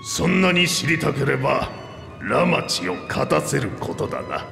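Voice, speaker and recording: An old reptilian monarch in a fantasy game. The voice is gravelly and swings widely in pitch, grand and commanding with a playful streak. It rings through a long, echoing hall reverb. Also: male voice, big pitch swings, unhurried, a bit bright, exaggerated voice. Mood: grave, measured. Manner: grandiose, archaic elder speech. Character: boisterous old two-headed reptilian king, playful and commanding. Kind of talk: theatrical video game voice acting. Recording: echoey hall reverb, music behind.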